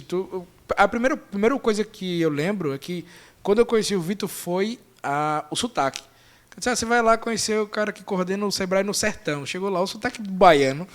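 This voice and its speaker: A man hosting a casual podcast chat, lively and joking. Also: sits high. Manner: lively, joking, quick-talking. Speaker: podcast host.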